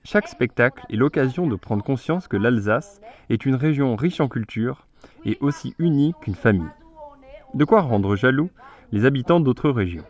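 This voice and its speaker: A man's voice: medium pitch, normal speed, highs cut off. Young man reading a voice-over. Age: young adult. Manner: steady read delivery. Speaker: narrator. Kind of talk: scripted news voice-over.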